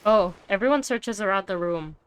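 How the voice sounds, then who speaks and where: A woman's voice: mid-range voice, lively intonation, normal speed, clear, resonant tone, no room sound. A woman game streamer giving casual, blunt, teasing reactions while she plays.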